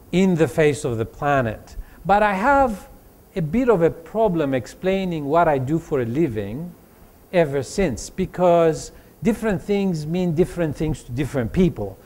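Man, 40s, conference speaker, storytelling, fillers and restarts. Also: a little high. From Greece. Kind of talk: motivational talk to students.

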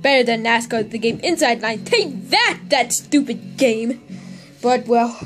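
A woman voicing an excitable young male teddy bear gamer in a homemade puppet video, rambling and squealing with laughter, recorded on a phone at home. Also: on the high side, measured pace, faint music behind. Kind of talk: homemade plush puppet vlog.

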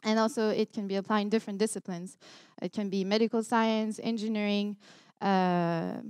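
A woman's voice: mid-range voice, fairly even intonation, moderate pace, a little mellow, clear, resonant tone. A young woman from Montreal with a Quebec French accent, giving an earnest keynote talk with many ums and restarts, recorded on a headset mic in a large lecture hall. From Montreal, Canada. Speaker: keynote speaker. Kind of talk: conference talk.

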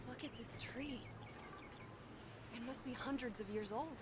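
Woman acting an earnest young survivor, highish voice. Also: measured pace, heavy background noise, lightly acted. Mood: wistful. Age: young adult. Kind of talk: video game voice acting.

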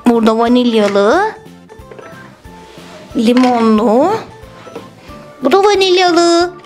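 A woman's voice: on the high side, lively intonation, takes it slowly, darkish tone, voice pushed down. A woman voicing an agreeable, obliging ice-cream seller in a children's toy story. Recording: music bed under the voice.

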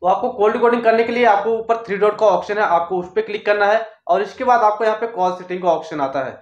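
A man's voice: high voice, quick pace. A man gives a step-by-step phone tutorial in a repetitive, instructional way. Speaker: tech tutorial host. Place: small indoor office room. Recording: roomy echo, dull lo-fi sound.

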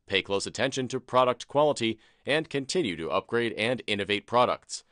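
A man reading a scripted promotional narration in a formal, measured manner, as the narrator of a corporate video. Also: medium pitch, animated, fairly bright tone, no room sound.

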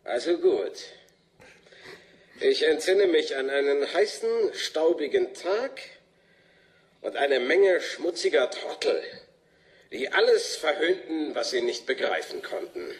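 A bitter, sinister man in a radio drama speaks slowly out of a trance. His pitch swings widely, and he is dramatic and full of contempt. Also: lively intonation. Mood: taunting. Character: bitter, mocking, sinister man under hypnosis recalling a past life. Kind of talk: German radio drama acting.

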